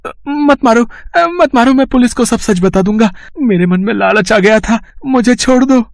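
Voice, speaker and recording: A man voicing a greedy, cowardly brother-in-law who whines and pleads in a high, frantic voice. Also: huge pitch swings, a little slow, husky, dry recording, clean studio sound, exaggerated voice. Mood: panicked. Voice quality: shrill, panicky whine when scared. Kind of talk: animated storybook voice acting.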